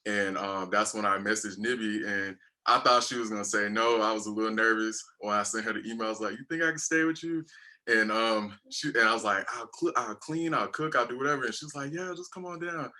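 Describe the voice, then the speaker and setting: Bright-voiced young man, rambling casually. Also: on the low side, brisk. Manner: relaxed, rambling, colloquial. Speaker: YouTube interviewer. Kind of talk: casual interview.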